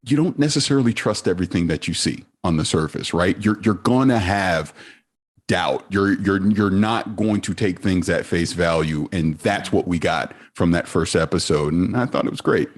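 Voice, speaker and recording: A man speaking earnestly as a panelist, turning animated when he quotes his own reactions. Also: sits low, normal speed. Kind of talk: casual panel discussion.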